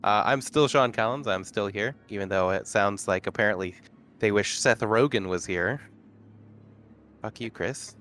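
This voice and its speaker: Sarcastic male player, lowish voice, casual banter, faint hiss. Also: normal speed, fairly bright tone. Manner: sardonic, joking, swears. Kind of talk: casual chat.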